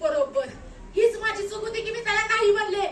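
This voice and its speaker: Anguished young survivor, defiant, tearful stage melodrama, very high piercing voice, sharp bright tone, repeated phrases. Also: female voice, brisk. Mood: anguished, accusing. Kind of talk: theatrical one-act stage monologue. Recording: echoey room, distant mic, noisy.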